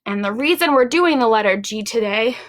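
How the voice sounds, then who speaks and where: A woman hosting a story time for young children, speaking in an encouraging, animated way with simple phrases and questions put straight to the listeners. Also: on the high side, brisk.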